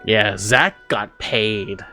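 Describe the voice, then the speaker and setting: Casting: female game streamer, low pitch, lively swings, slangy exclamations, faint music behind. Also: very slow. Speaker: gaming reaction streamer. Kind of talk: casual live reaction commentary.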